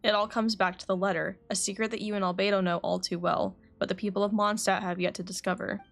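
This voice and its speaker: Chatty woman streaming a game, mid-pitched. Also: flat delivery, quick pace, vocal fry. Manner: chatty, stumbles and corrects herself when reading. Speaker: game livestreamer. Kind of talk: casual live game commentary, reading story text aloud. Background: game music.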